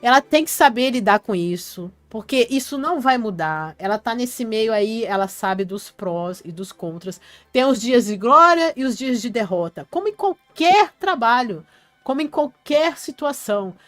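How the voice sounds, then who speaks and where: A woman's voice: fairly high pitch, animated, normal speed, dry recording, faint music behind. A woman hosting a casual live stream, reading viewers' comments aloud and answering them in a chatty way.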